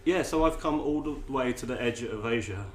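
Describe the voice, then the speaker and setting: A man's voice: medium pitch, fairly quick. Chatty man hosting a podcast, echoey room. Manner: chatty, swears, trails off and restarts mid-sentence. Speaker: podcast host. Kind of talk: casual interview chat. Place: room with several poets nearby. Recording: echoey room, faint ambient noise.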